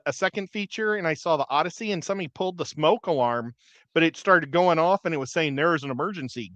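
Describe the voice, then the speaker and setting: A man's voice: on the high side, a bit bright, dry recording. A man in his fifties or older, telling everyday stories in casual chat as a livestream panelist. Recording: dry, dull lo-fi audio.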